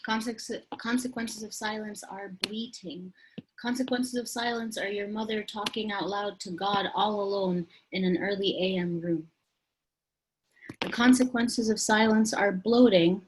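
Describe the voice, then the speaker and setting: A woman reciting her own poem on a video call, steady and rhythmic. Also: medium pitch, measured pace, a little hiss or hum, rough recording. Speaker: workshop participant. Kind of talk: reading her poem aloud.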